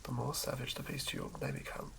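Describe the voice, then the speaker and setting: A man doing a soothing ASMR reading of fantasy game lore in a hushed, breathy whisper, occasionally stumbling over words. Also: mid-range voice, little pitch movement, brisk. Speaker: ASMR host. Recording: lo-fi recording with clear background noise.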